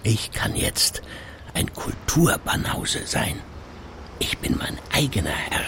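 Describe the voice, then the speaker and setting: A man's voice: fairly low pitch, big pitch swings, slow, pushed and bright, slight background noise, full character voice. An actor playing a wry, self-satisfied retired radio editor in his sixties, musing theatrically to himself in a radio play. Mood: defiant, self-satisfied. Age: 60s. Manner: musing to himself, theatrical shifts between murmur and exclamation. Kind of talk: German radio play monologue.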